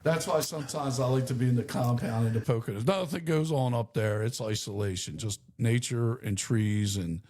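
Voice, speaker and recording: A middle-aged man with a slightly rough voice, co-hosting a casual podcast and rambling through animated stories. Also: medium pitch, moderate pace, few pauses. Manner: rambling storyteller, animated and laughing. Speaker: podcast co-host. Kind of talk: casual chat.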